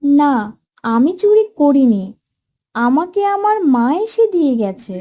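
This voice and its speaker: A woman's voice: sits high, very animated, slow pace, warm tone, muffled recording, exaggerated voice. A woman voicing a meek, mistreated young stepdaughter in a cartoon story, hesitant and pleading.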